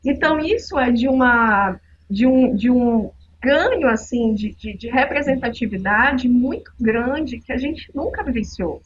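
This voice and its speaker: A woman's voice: a little high, measured pace, highs cut off. A woman in her forties from Belém with a Paraense accent, telling stories as a guest in a casual podcast conversation. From Belém, northern Brazil. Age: 40s. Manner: storytelling, animated. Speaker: guest, social entrepreneur.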